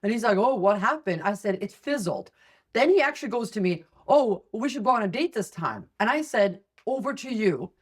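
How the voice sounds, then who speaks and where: A middle-aged woman from Sweden, hosting a podcast in a chatty, candid and animated way. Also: mid-range voice, a little fast, slightly rough. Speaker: podcast host. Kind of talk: casual chat.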